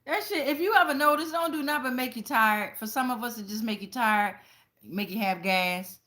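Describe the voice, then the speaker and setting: A woman's voice: fairly high pitch, a little fast, bright, forceful tone. A woman host giving a casual tarot reading with personal stories, speaking bluntly with lots of swearing and checking in with her viewers. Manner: conversational, addresses viewers directly with frequent check-ins. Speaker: tarot reader and channel host.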